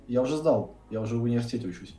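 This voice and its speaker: Fast-talking blunt young man, echoey room. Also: medium pitch, lively intonation, mellow. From Saint Petersburg, Russia. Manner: quick, blunt, swears casually. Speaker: university economics student. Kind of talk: casual video chat. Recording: echoey room, far from the mic.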